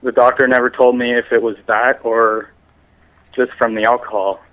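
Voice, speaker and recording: A man's voice: mid-range voice, flat delivery, moderate pace. A man answering questions over the telephone in a defensive, insistent manner. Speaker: prank call target. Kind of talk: answering questions on a phone call. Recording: muffled, narrow phone line.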